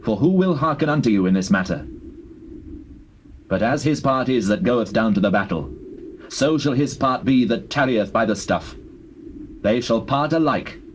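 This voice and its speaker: An actor playing a firm, just warrior leader, declamatory and authoritative, in a dramatized scripture recording. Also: male voice, mid-range voice, expressive, normal speed, pauses often, natural voice, low-quality recording. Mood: firm, righteous. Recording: hissy old cassette tape recording.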